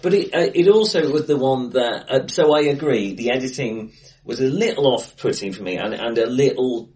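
A man hosting a casual film discussion in a hesitant, self-correcting conversational way, recorded in a bright, echoey room. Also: medium pitch, expressive, moderate pace, recorded from a distance. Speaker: podcast host. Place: untreated, acoustically bright room, face to face.

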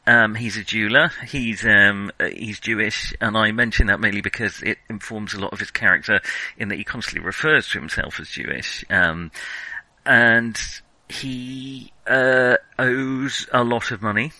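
A man's voice: on the low side, steady tone, normal speed, pushed and bright, dry recording, low-quality recording. A man hosting a podcast, chatting casually about films in a wry, self-deprecating way, often hesitant and rambling. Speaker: podcast host. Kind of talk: casual film discussion.

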